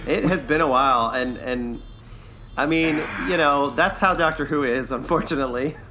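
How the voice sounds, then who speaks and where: A man's voice: medium pitch, normal speed, noisy background. A man co-hosting a podcast in casual chat, upbeat and good-humoured. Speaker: podcast co-host.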